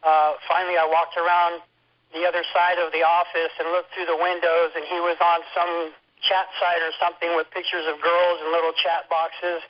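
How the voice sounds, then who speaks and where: Male patient giving an informal complaint account, fairly high voice, muffled telephone sound. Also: completely flat delivery, moderate pace. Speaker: hospital patient reporting complaints on a conference call. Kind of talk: informal first-hand account. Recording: muffled lo-fi telephone conference line.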